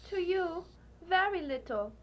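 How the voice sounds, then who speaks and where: A woman's voice: very high voice, expressive, unhurried, muffled recording, heavy background noise. A woman playing a sorrowful, dignified young sweetheart, speaking formally and earnestly in a radio drama. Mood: hurt, resigned. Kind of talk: live student radio drama acting.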